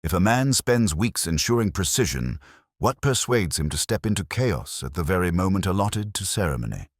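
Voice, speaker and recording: A man voicing a thoughtful, soft-spoken police inspector with a precise, dryly philosophical turn in a mystery story. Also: sits low, very animated, moderate pace, no room sound, studio quality. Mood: pondering.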